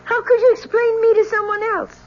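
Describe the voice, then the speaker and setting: A woman's voice: very high voice, level tone, a little fast. An actress playing a bitter, anguished young woman, a professor's daughter, with melodramatic delivery in an old-time radio drama. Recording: muffled, noisy old radio recording.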